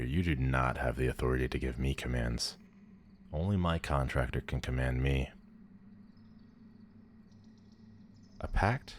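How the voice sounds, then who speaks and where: Man voicing a very deep, haughty demon lord. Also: a little hiss or hum. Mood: haughty, incredulous. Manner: pompous, condescending, theatrical. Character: haughty, powerful male demon lord, bored and amused. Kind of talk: ASMR audio roleplay acting.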